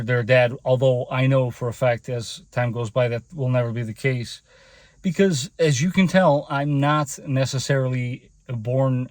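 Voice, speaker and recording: A man's voice: medium pitch, darkish tone, no room sound. A man in his forties from Portugal, speaking English with a Portuguese accent as a second language, talking to camera in an earnest, grateful way with many hesitations. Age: 40s. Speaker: channel host and mechanic. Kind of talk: casual heartfelt talk to camera.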